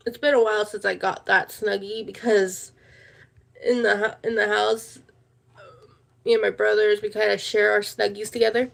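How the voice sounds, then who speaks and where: A woman's voice: mid-range voice, gravelly. A woman hosting a casual livestream, chatting in a rambling way and repeating her words. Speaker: livestream host.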